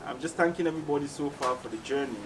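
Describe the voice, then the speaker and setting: A man's voice: mid-range voice, racing. Cameroonian man, distant and noisy recording. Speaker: musician being interviewed. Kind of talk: casual interview answers. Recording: distant mic, clearly noisy background ambience.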